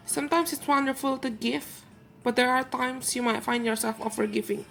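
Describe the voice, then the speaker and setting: Gentle woman card reader. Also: fairly high pitch, poor audio. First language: Indonesian. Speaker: tarot and oracle card reader. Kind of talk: channeled card reading for viewers.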